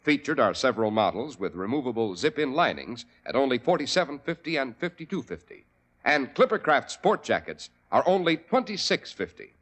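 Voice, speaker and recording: Man, radio announcer, persuasive ad read, lively pitch. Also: a little fast. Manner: smooth, persuasive salesman delivery. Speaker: sponsor announcer.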